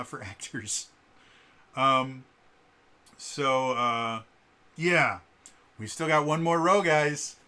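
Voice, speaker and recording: Bright-voiced man with a stutter. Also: a little high. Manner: halting, many fillers and repeated sounds. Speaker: vlogger. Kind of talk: casual spoken commentary to camera.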